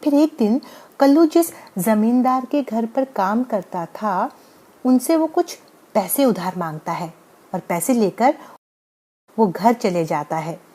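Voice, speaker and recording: A woman's voice: fairly high pitch, animated, slow pace, mellow. A woman narrating a scripted bedtime moral story as the storyteller.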